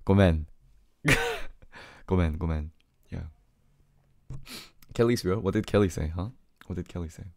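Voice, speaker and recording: A young man with a low voice chatting casually on a livestream, reading comments, laughing often and full of fillers. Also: a little slow, gravelly, long pauses, no echo, clean studio sound. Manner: laid-back, laughs often, many fillers. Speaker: streamer. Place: small home room with keyboard. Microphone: studio mic on arm near face.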